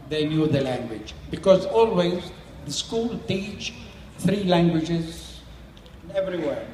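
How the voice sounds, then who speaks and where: A man's voice: on the high side, a little mellow, echoey room, big-room sound, a little hiss or hum, low-quality recording. An older man whose first language is Syriac, speaking plain non-native English in short phrases as an interviewee and community elder. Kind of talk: explaining to a small audience.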